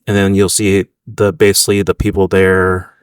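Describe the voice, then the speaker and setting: Low-voiced man talking easily. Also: moderate pace, clean tone, no echo. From United States. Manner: relaxed, rambling with many fillers and restarts. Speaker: restaurant owner. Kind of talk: casual interview.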